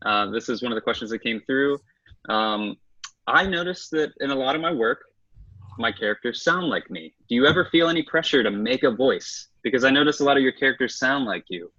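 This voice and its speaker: Guy reading out questions, bright voice but muffled lo-fi sound. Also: mid-range voice, expressive, a little fast. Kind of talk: casual webinar chat. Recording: dull lo-fi audio.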